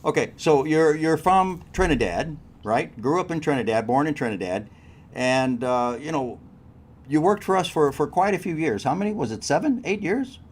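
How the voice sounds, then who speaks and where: A man's voice: fairly high pitch, lively intonation, moderate pace, slightly rough. A man hosting a cruise news show and interviewing a guest, talking in a chatty way straight to his listeners. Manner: chatty, addresses crew members directly. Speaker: host.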